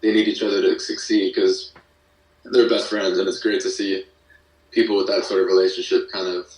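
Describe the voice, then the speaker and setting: A man's voice: low voice, flat delivery, moderate pace, darkish tone. A man chatting casually as an interview guest, explaining things in an informal, thoughtful way. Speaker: podcast guest, musician and audio drama creator. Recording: echoey room, distant from mic.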